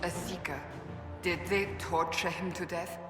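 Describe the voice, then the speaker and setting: A woman's voice: lowish voice, steady tone, unhurried, over music, close to an everyday voice. A woman playing a stern, righteous warrior in a video game, blunt, serious and formal in her delivery. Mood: grave, suspicious. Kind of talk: video game character dialogue.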